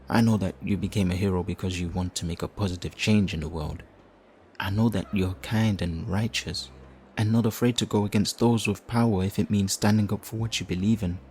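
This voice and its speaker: A man playing a flirtatious, theatrical supervillain leader in an audio roleplay, smug and righteous, with teasing, drawn-out phrasing. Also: fairly low pitch, a little mellow, slight background noise, lightly acted. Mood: earnest, coaxing. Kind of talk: audio roleplay addressed to the listener.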